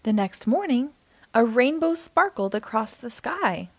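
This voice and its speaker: High, very animated woman reading to children. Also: unhurried, no echo. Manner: expressive, theatrical. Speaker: storytime host and reader. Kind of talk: children's picture book read-aloud.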